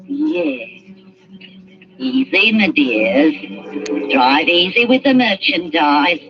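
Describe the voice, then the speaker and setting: A woman's voice: medium pitch, big pitch swings, extremely slow, full character voice. An actress playing a scheming old woman who deals in stolen corpses, scolding and wheedling in turn. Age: older. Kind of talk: 1940s radio acting. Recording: muffled old radio recording.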